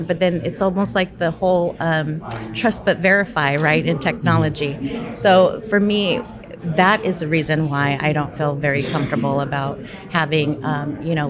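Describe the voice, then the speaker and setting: A woman answers interview questions casually, often checking in for agreement. Her voice is low for a woman, and there is clear crowd chatter behind her. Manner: informal, seeking agreement with frequent check-in tags. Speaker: interviewed technology executive. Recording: busy crowd noise behind.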